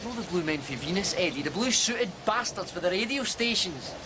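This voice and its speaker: High, animated, indignant Scottish man. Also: fairly quick, noisy background. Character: disgruntled male radio DJ, scheming and indignant. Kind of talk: naturalistic drama dialogue.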